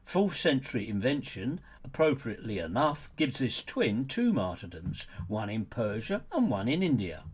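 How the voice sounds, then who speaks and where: A man's voice: medium pitch, very animated, normal speed, hardly any pauses, breathy edge. A man reading his own book as scripted narration, in a sardonic, mocking way with wry rhetorical asides.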